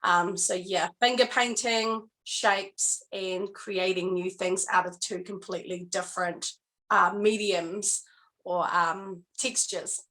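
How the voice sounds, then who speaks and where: A woman with a New Zealand accent talks about her art in a bright, clear voice. She is earnest and reflective, pausing often as she thinks. Her pitch sits in an ordinary middle range. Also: fairly even intonation, poor audio. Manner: earnest, reflective, halting with many pauses. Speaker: guest artist. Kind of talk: casual interview chat.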